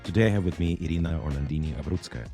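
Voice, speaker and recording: A man's voice: a bass voice, racing, music in the background. A man hosting an interview podcast, giving prepared introductions and questions to a guest.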